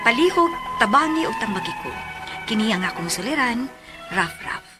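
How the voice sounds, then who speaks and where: Earnest daughter, animated high-ish voice, music behind. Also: female voice, normal speed. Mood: earnest, aggrieved. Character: earnest grown daughter narrating her letter for legal advice. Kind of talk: scripted dramatic letter narration for radio.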